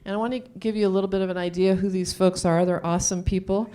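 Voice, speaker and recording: A woman hosting a live event, introducing speakers in an informal, chatty way and sometimes haltingly reading from notes. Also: mid-range voice, fairly even intonation, warm tone. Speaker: event host introducing panelists. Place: live event room, on stage. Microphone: handheld microphone.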